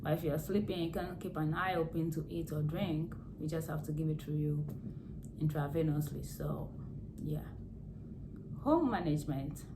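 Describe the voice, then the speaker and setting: Hoarse low-voiced woman explaining calmly. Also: normal speed, background noise. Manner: plain explaining, repeats key words. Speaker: nurse vlogger. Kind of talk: casual health advice vlog.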